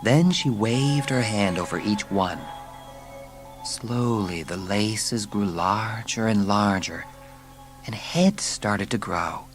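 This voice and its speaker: Guy narrating a kids audiobook, slowish low voice, music playing behind him. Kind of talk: scripted narration of a children's story.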